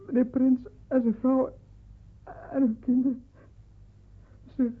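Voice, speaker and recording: A narrow, phone-like old radio recording. An earnest, headstrong young son speaks with a slightly rough voice in a family radio drama. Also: male voice, extremely high pitch, level tone, slow, heavily acted. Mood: shaken.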